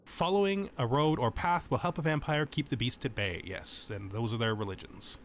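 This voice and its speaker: A man's voice: mid-range voice, moderate pace. A man giving a tutorial-style gaming commentary, moving between reading out game text and explaining it informally. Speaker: host of a gaming channel.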